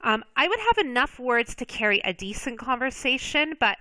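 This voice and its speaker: A woman speaking to her viewers in an easygoing, reflective monologue, a native English speaker who fills her pauses with ums. Her voice is bright and crisply clear, with a tense edge. The sound is dry, with no room echo. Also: high-pitched, big pitch swings. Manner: reflective, lots of ums and restarts. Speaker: vlogger. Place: Spain.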